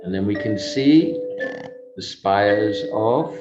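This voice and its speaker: A man, a swami, guides a devotional pilgrimage in an unhurried, musing way, speaking in halting fragments and repeating himself. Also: on the low side. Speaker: swami guiding a virtual pilgrimage. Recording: dull lo-fi audio. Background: kalimba music, soft mallet-like tones.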